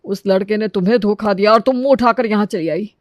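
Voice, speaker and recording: A woman's voice: medium pitch, fast, dry recording, studio quality, pitched below the performer's own voice, natural voice. A woman voicing a harsh, shamed mother who bitterly reproaches her child in a family drama. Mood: bitter, reproachful.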